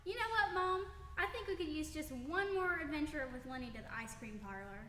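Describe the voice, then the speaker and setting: An earnest schoolgirl played by a woman in a radio drama, high and bright. She is studious and sincere, heard in an echoey hall over faint noise. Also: expressive, a little fast. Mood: fond. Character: earnest, studious young girl with big feelings. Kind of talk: radio play acting.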